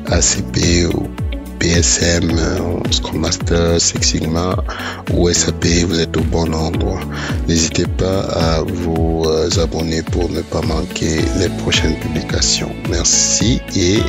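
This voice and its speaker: A man's voice: sits low, completely flat delivery, slow, creaky. A man hosting an interview as a project management coach, speaking with some hesitation and fillers. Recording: music playing behind.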